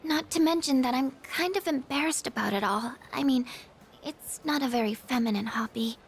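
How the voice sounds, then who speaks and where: A woman acting a shy, gentle, self-doubting teenage girl in an English video game dub, speaking hesitantly and apologetically. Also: high-pitched, normal speed, slight background noise. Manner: hesitant, apologetic, soft-spoken.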